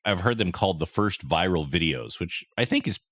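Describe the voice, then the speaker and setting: A man's voice: low-pitched, animated, fairly quick, a bit bright, dry recording, clean studio sound. A man hosting a podcast interview, asking curious, chatty questions. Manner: curious, chatty, laughs often. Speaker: podcast host.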